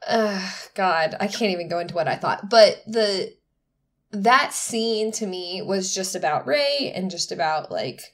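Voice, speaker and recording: A woman's voice: medium pitch, expressive, a little slow, a bit bright. A woman co-hosting a podcast, giving opinions casually and emphatically, with lots of "like". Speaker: podcast co-host.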